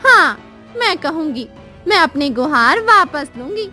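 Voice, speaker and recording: Female actor as abandoned cartoon wife: very high voice, sorrowful, pleading, forgiving. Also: big pitch swings, measured pace, sharp tone, soft background music, heavily acted. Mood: resigned. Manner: pleading, earnest. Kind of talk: Hindi cartoon moral story acting.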